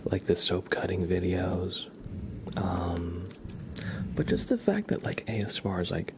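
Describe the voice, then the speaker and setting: Male ASMR streamer, low and gravelly, soft half-whispered chat, halting delivery, noticeable background noise. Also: expressive. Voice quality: soft, breathy, often whispered. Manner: slow, halting, rambling. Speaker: ASMR livestream host. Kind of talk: ASMR, casual chat with viewers.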